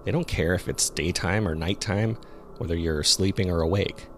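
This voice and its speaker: A man playing a jogger who recounts a frightening experience in the woods, as scripted first-person horror narration told in a measured, suspenseful way. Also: low-pitched, a little hiss or hum, natural voice. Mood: measured, uneasy. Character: local male jogger recounting a terrifying discovery in the woods. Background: ambient sound effects.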